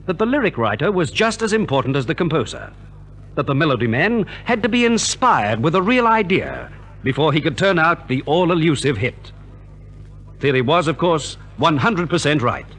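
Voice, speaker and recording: A man's voice: on the high side, sing-song, moderate pace, fairly bright tone. A man reading scripted narration for a 1950s radio programme, on a vintage recording.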